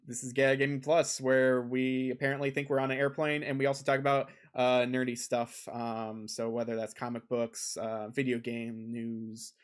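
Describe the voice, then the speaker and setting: A man co-hosting a casual gaming podcast, rambling with lots of filler ums, recorded on a desk condenser mic at a home setup. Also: mid-range voice. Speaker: podcast co-host.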